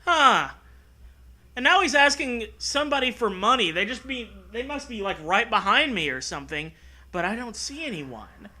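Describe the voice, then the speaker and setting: A man's voice: very high-pitched, very animated, moderate pace, pushed and bright. A man giving casual comedic commentary, mocking and sarcastic, with plenty of swearing. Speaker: co-host riffing on a story.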